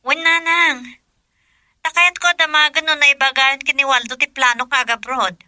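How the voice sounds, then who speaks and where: A woman's voice: high-pitched, fairly even intonation, moderate pace, sharp tone, no room sound, clean studio sound. A woman with an Ilocano accent playing an ill young wife who hides from her husband in a radio drama, subdued and evasive.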